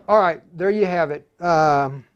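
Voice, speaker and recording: A man with a slightly high voice is hosting a hands-on tutorial. He explains things informally, stopping and starting as he thinks aloud, like a hobbyist radio operator showing the steps. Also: takes it slowly, muffled recording, slight background noise. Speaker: livestream tutorial host, amateur radio operator. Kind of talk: casual hands-on demonstration.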